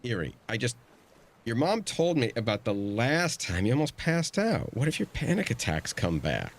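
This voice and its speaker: A man's voice: mid-range voice, big pitch swings, normal speed. An actor playing a protective middle-aged uncle, a former navy man, in an audio drama, earnest and pressing. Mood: worried, pressing.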